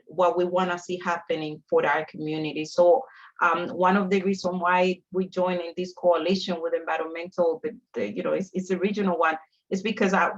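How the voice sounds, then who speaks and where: A woman with a Dominican Spanish accent speaks in a fairly low voice as an advocate on a panel. Her phrasing is emphatic and repetitive, pressing each point home. The sound is somewhat dull and lo-fi. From Dominican Republic. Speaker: panelist, community organizer. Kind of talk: panel discussion, impassioned advocacy.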